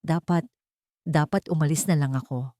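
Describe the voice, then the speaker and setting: A woman's voice: low-pitched, normal speed, dark tone, no room sound, studio quality, natural voice. Woman voicing a broken-voiced, despairing young mother. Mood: despairing, tearful. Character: tearful, despairing young mother, self-blaming housemaid.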